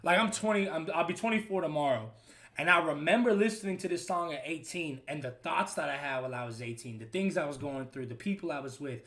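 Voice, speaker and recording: A man's voice: a little high, lively intonation, fast talker. A man in his twenties giving a rambling, casual reaction to an album, swearing loosely as he talks. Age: 20s. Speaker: streamer reacting to music. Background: loud hip hop music playing.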